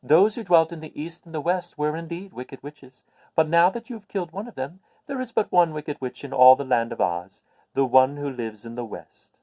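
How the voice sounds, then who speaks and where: A man voicing a kindly little old woman, a good witch from a storybook, speaking in an emphatic, deliberate way. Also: on the high side, animated, mellow, no room sound, pitched above the performer's own voice, lightly acted, low-quality recording. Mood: earnest explaining. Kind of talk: storybook character voice.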